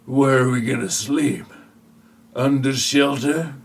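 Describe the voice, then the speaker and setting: A man playing a weary, frightened young female runaway with a husky, whining delivery in an amateur parody audio play. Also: mid-range voice, fairly even intonation, takes it slowly, slight background noise, close to an everyday voice.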